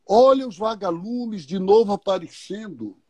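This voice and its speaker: A man's voice: high voice, a little mellow, no echo. A man speaking as a guest in a live online talk, explaining his views thoughtfully and posing questions as he goes. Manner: unhurried, thoughtful, uses questions and examples.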